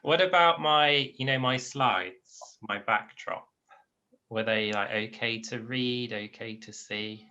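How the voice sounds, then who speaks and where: A man's voice: medium pitch, slow, bright, forceful tone, lo-fi sound. A man casually interviewing people for feedback, putting his questions in hesitant pieces. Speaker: project presenter gathering feedback.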